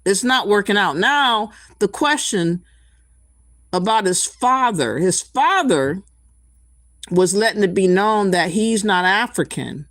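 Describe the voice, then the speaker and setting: A woman's voice: medium pitch, expressive, fairly bright tone, no echo, studio quality. A woman hosting a reaction commentary, talking in a blunt, indignant way and stopping to cue up clips. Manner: blunt, indignant, slow deliberate delivery. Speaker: commentary channel host.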